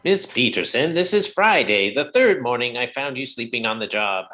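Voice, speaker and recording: A woman's voice: extremely low pitch, animated, fairly bright tone, soft background music. A woman playing a grumpy, pompous male office boss with a stern, sarcastic manner in a 1950s-style radio comedy. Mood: exasperated, sarcastic. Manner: stern, withering, deliberate.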